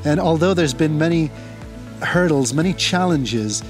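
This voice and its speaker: A man's voice: fairly high pitch, animated, measured pace. A man in his thirties, born in Seychelles and raised in Kenya, co-founder of a company, talking easily to camera outdoors in a garden. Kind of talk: casual presentation to camera. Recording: music playing behind.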